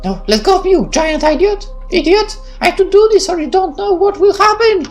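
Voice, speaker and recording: Fiery anime-game girl, high and shrill, frantic, wild swings in pitch. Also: lively intonation, a little fast, faint music behind. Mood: furious, frantic. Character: fierce, desperate supernatural girl rival, quick to fury. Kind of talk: visual novel voice acting.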